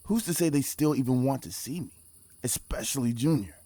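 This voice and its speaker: A man playing a guarded, pragmatic mission leader in his forties in a naturalistic audio drama, speaking in a measured, reflective way. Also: mid-range voice, animated, mellow, no echo, close to an everyday voice. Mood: guarded, conflicted.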